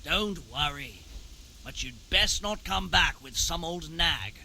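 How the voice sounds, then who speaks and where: A man's voice: a little high, animated, slow pace, vocal fry, bright, forceful tone, slight background noise, full character voice. A man playing a sly, greedy townsman who commissions thefts in a video game, talking in a knowing, theatrical, wheedling way. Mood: coaxing, dry. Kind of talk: dramatic video game dialogue.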